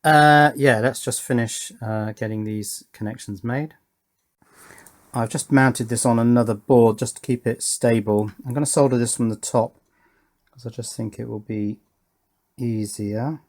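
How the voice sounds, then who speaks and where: Guy narrating his hobby build, mid-pitched voice, low-key and a bit hesitant, kinda thinking out loud. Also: steady tone, a little slow. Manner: hesitant, thinking aloud, understated. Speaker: hobby electronics video maker. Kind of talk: casual hands-on build commentary.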